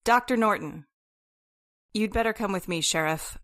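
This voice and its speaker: An actress playing a serious, guarded doctor in an old-time radio horror play, measured and a little hesitant. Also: female voice, medium pitch, a bit bright, lightly acted.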